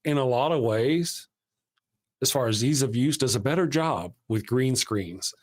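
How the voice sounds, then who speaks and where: A man of middle pitch hosts a live stream in a loose, rambling way. He is chatty and quick to make fun of himself. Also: expressive. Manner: chatty, rambling and self-deprecating. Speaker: host of a live show. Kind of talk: casual live-stream chat.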